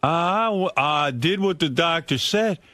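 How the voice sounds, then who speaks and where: A man's voice: on the high side, a little slow, dry recording, clean studio sound. A man hosting a radio show, telling stories in casual banter and mimicking others as he goes. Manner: animated storyteller, mimics others mid-story. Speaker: radio host.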